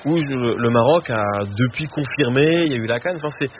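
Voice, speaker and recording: A man hosting a live football show in casual chat, lively and full of fillers and restarts. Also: mid-range voice, brisk. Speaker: host of a live match show. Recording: muffled stream with background noise.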